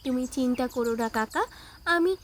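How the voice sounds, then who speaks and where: Woman voicing a high-pitched, determined village girl. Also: moderate pace, a little hiss or hum, natural voice. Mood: reassuring. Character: brave, determined village girl who hunts a thief. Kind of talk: children's fairy-tale cartoon voice acting.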